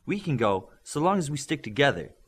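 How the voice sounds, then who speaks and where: A man reading an audiobook, voicing a measured, responsible young man in charge. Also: medium pitch, very animated, fairly bright tone, husky, pitched above the performer's own voice. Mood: steady.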